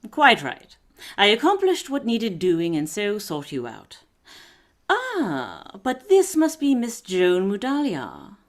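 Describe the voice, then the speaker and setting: Woman acting an imperious, wildly expressive lady detective. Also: medium pitch, slow pace, heavily acted. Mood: brisk, self-assured. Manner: imperious, breezy, theatrical. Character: commanding, shrewd aristocratic lady detective. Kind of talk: period radio mystery acting.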